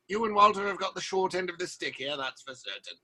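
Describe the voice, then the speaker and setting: An actor playing a fervent, protective leader of a theatre troupe, speaking emphatically in a theatrical audio drama. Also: male voice, sits high, animated, normal speed, pushed and bright, husky, heavily acted. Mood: concerned, gentle.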